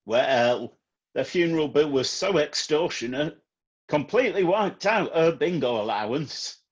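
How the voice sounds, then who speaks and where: Man acting a husky, blunt boss. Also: fairly high pitch, expressive, unhurried, lo-fi sound. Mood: grumbling. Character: blunt, scolding male music manager, irritable son. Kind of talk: comedy radio play acting.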